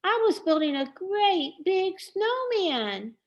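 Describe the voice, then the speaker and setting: A woman's voice: very high-pitched, very animated, takes it slowly, voice pushed up, full character voice. A woman voicing a small, earnest, eager young girl in a children's storybook. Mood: proud. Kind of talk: children's storybook read-aloud.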